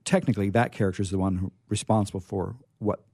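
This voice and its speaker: Low, bright-voiced man hosting, halting delivery. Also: steady tone, husky, dry recording, clean studio sound. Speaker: host. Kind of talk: casual literary discussion.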